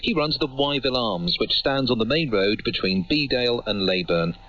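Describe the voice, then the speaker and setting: A man's voice: medium pitch, lively intonation, normal speed, a bit bright. A man narrating a scripted radio news report as a correspondent. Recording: muffled, noisy longwave radio capture.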